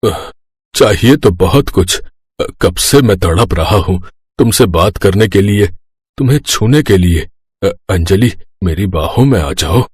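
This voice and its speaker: A man's voice: low-pitched, expressive, measured pace, darkish tone, husky, no room sound, exaggerated voice. A man playing a lecherous, scheming middle-aged father-in-law in a cartoon story, hesitant and wheedling. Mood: lustful. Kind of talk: Hindi moral-story cartoon acting.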